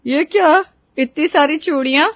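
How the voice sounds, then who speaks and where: A woman voicing a judgmental middle-aged neighbourhood aunt who taunts and scolds, in an animated story. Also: high voice, moderate pace, dry recording, dull audio. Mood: nosy, scolding. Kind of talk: Hindi animated moral story dialogue.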